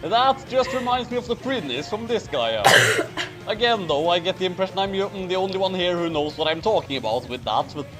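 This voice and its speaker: A man with a very high voice for his sex and a lively, swooping delivery. He reads theatrically and chats casually at a slow pace, with music clearly heard behind him. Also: poor audio. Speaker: co-host reading fan fiction aloud. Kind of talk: theatrical reading and casual banter.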